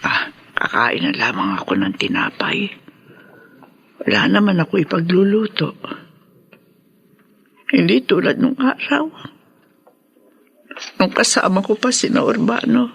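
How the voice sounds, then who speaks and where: A lonely, despondent parent in a radio drama, speaks slowly in a high, husky voice. The delivery sighs and drifts toward whispers, sad and worn down. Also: male voice, big pitch swings, a bit bright, exaggerated voice. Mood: weary, sorrowful. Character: lonely, despondent parent left alone at home.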